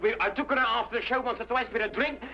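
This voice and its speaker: A man playing a nervy, slippery stage escape artist in a vintage detective drama, excitable and pleading, on an old recording. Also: extremely high pitch, racing. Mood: frantic pleading. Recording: dull old broadcast recording with music.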